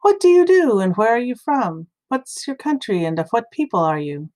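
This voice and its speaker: A woman's voice: fairly high pitch, sing-song, moderate pace, darkish tone. A woman voicing a stern, alarmed sailor in a storybook reading, urgent and questioning. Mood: accusing, frightened. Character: stern, alarmed male ship's officer and frightened sailors.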